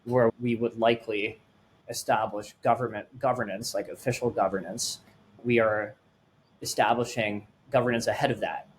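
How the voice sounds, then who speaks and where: A man's voice: fairly low pitch, slight background noise, rough recording. A man in his late twenties from Sweden with a light Swedish accent, answering interview questions as a startup founder in an earnest, rambling way. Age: late 20s.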